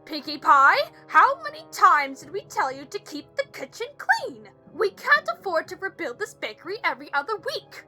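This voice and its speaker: A woman's voice: very high-pitched, big pitch swings, normal speed, hardly any pauses, pushed and bright, soft background music, voice pushed up, exaggerated voice. A woman voicing an exhausted, exasperated motherly female pony who scolds sternly and emphatically. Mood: scolding.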